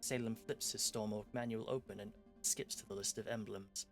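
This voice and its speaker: A man streaming himself reading visual novel narration aloud, quiet and even, correcting himself now and then. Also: on the low side, normal speed, vocal fry. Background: game music.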